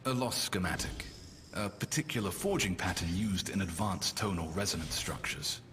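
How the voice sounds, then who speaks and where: A man's voice: sits low, lively intonation, moderate pace. A man playing a frustrated, obsessive scholar of ancient machines in a fantasy game, speaking in a brooding, articulate, bookish way. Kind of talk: dramatic video game character voice acting. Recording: long hall reverb, loud background noise.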